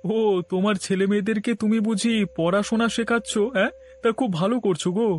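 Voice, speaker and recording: A man's voice: sits high, steady tone, quick pace, a little hiss or hum, close to an everyday voice. A man voicing a humble married neighbour in a moral-story cartoon, with a deferential, pleading manner. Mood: friendly.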